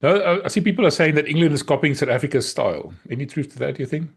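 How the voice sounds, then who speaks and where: A man's voice: a little high, lively intonation, racing, husky. A man with an Afrikaans accent co-hosting a rugby podcast, talking in an easygoing, teasing way late at night, sounding a little tired. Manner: easygoing, teasing, thinks aloud. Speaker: podcast co-host. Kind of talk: casual chat.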